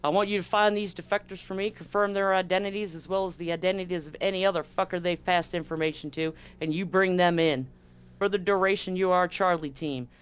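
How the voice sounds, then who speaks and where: A man playing a gruff, profane Marine commander briefing a squad in a tabletop game, blunt and commanding. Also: sits high, fairly even intonation, brisk, natural voice. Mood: stern briefing.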